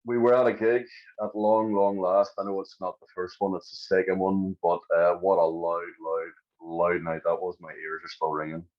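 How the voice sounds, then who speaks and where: Low-voiced Northern Irish man, slightly muffled. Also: level tone, moderate pace. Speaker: podcast co-host. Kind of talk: casual chat.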